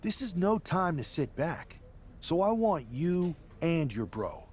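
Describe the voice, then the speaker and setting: An upbeat, bantering wrestler in a video game. His voice is fairly high and swings animatedly, over faint background noise. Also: sing-song, takes it slowly, darkish tone. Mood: determined. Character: upbeat, bantering male wrestler recruiting allies. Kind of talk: acted video game cutscene dialogue.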